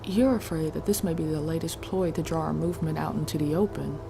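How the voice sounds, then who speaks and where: A woman playing a thoughtful, questioning Romulan woman, speaking in a measured, deliberate way in a sci-fi audio drama. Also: deep voice, creaky, background noise. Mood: pensive.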